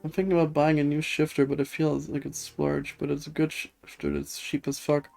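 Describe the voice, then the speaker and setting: A woman's voice: deep voice, level tone, fairly quick, gravelly, darkish tone. A woman game streamer chatting casually and thinking aloud in a rambling, hesitant way. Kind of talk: casual livestream chat. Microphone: streaming setup with webcam. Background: music.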